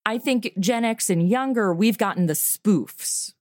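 A woman's voice: medium pitch, unhurried, darkish tone, no room sound. A middle-aged woman giving a conversational interview as a guest, explaining her work with enthusiasm. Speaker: interview guest, comedy writer and voice performer.

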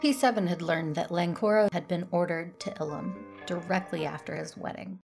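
An actress playing a methodical, self-assured Jedi operative, narrating in first person in a matter-of-fact, controlled way with some creak. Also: female voice, lowish voice, normal speed, darkish tone, soft background music. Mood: controlled, resolute.

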